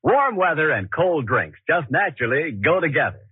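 A man's voice: fairly high pitch, big pitch swings, moderate pace, no room sound. A male radio announcer reading scripted copy with polished, emphatic 1940s salesmanship. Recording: roughened, muffled 1940s radio broadcast, faint music.

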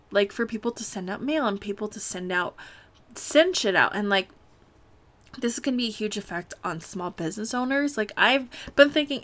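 A woman's voice: medium pitch, fairly bright tone. A woman in her late twenties hosting a casual solo podcast, rambling with lots of fillers, swearing freely and laughing often. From United States. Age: late 20s. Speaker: podcast host.

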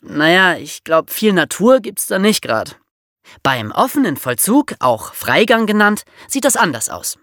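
Podcast co-host, highly animated melody, medium pitch, informal explaining, dry recording. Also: no room sound.

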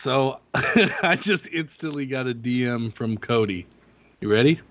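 A man's voice: medium pitch, lively intonation, measured pace, no echo. A man in casual podcast chat, joking and swearing. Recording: dull, lo-fi sound.

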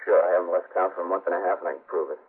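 An actor playing a defiant small-time crook in a 1950s radio drama, arguing in cocky slang. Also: male voice, low voice, flat delivery, rapid-fire, heavy background noise, natural voice. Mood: cocky, insistent. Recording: tinny old radio broadcast recording.

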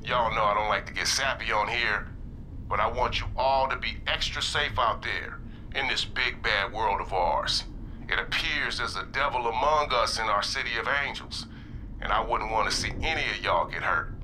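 Low-voiced folksy male radio DJ. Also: moderate pace, sharp tone, slight background noise, low-quality recording. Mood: soothing, concerned. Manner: soothing, folksy. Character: folksy, caring male late-night radio DJ. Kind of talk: mellow radio DJ patter.

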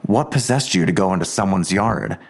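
A gruff cop dad, low voice, short fuse, blunt questions, audio drama style. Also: male voice, lively intonation, brisk, full character voice. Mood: stern, angry. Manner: blunt, confrontational, interrogating. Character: gruff, hot-tempered male police detective and protective father.

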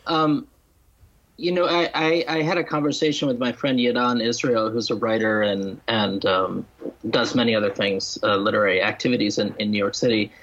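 A man speaking as a guest writer in a literary podcast discussion, halting and deliberate, restating and listing his points. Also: on the low side, steady tone, fairly bright tone, highs cut off. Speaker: guest novelist and critic.

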